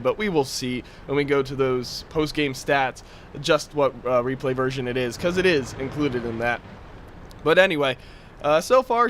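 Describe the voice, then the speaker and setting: Young guy just woke up so kinda raspy, chatty game commentary, bit higher voice, noise behind him. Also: a bit bright. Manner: casual and chatty, with frequent fillers. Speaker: gameplay commentator.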